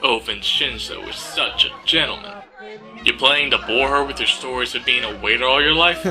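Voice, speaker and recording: A man's voice: medium pitch, moderate pace, over music, lightly acted. A man playing a pushy, jeering flirt among a ship's crew in a radio play, brash and taunting. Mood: jealous, jeering.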